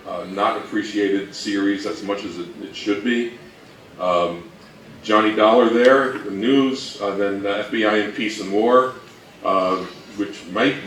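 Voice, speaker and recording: A man co-presenting an informal slide talk in a joking, conversational way. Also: fairly low pitch. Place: room presentation before an audience. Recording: roomy, distant mic, faint background noise.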